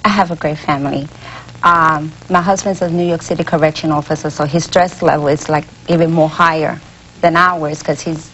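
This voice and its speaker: A woman's voice: low voice, steady tone, moderate pace, a bit bright. A woman guest who works in emergency medicine, answering casually and personally in an interview. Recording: dull, faintly noisy old tape.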